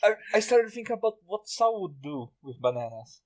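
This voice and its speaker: A man hosting a casual group podcast chat, speaking haltingly and repeating his words. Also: sits high, big pitch swings, crowd noise. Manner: halting, repeats words, often breaks into laughter. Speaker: podcast host. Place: remote group voice call.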